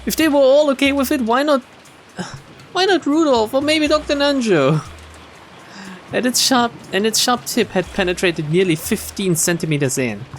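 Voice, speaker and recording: A man's voice: very high voice, normal speed. A man streaming a visual novel, reading the text aloud and adding wry, casual asides. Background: game music.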